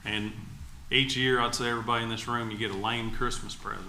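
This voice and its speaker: Enthusiastic guy giving meeting remarks, kinda forceful voice, echoey room. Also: mid-range voice, little pitch movement, fast talker. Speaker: agency commissioner. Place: indoor public meeting room. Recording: echoey meeting room, faint background noise.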